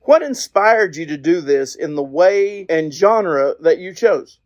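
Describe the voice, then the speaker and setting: A woman's voice: on the low side, lively intonation, slow pace, darkish tone, no room sound, highs cut off. A woman hosting a podcast interview, introducing a guest and asking questions.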